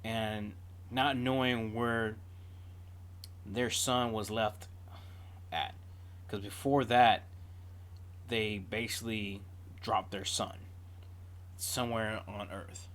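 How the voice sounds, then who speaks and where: A man's voice: fairly low pitch, steady tone, slow pace, pauses often, a bit bright. A man giving casual, off-the-cuff reaction commentary as a video host, drifting into digressive storytelling.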